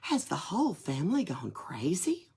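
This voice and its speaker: A woman voicing a small, curious male pet lizard, talking mostly in a soft whisper. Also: sits low, wildly swooping pitch, moderate pace, husky, exaggerated voice. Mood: wondering.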